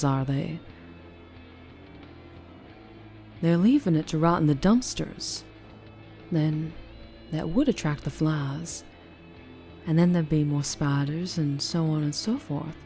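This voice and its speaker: A woman with a Southern US accent playing a flirtatious, troubled, protective vampire in an intimate ASMR roleplay, speaking softly and haltingly. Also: on the low side. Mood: puzzled, probing. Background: music.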